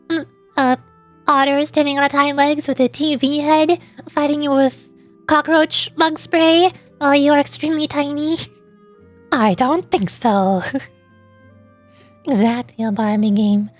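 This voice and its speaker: A woman's voice: high-pitched, fairly bright tone. A woman streamer chatting casually and ramblingly about games, often repeating words as she goes. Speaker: streamer and VTuber.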